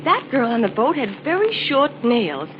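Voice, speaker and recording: An actress playing an eager, sharp-eyed female assistant in an old-time radio drama. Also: a little high, big pitch swings, moderate pace. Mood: agitated, insistent. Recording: muffled, noisy old radio recording.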